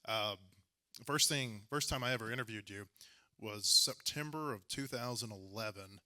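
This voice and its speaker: A man's voice: on the low side, moderate pace, bright, forceful tone. A man hosting a casual interview, informal and full of anecdotes. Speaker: host and sports journalist interviewing a guest.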